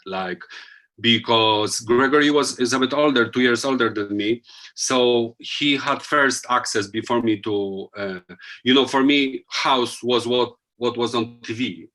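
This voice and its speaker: A man's voice: mid-range voice, a little slow, pushed and bright. A man with a Polish accent, a DJ guest, speaking loosely with many fillers while thinking aloud. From Poland. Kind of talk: casual interview chat.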